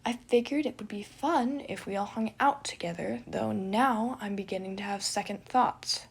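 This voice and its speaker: Woman playing theatrical young man, proud, huffy, dry comebacks, raspy creaky edge, storytelling podcast. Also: mid-range voice, moderate pace. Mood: reluctant, huffy. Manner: dramatic, dry retorts. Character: proud theatrical young man, festive bickering twin brother.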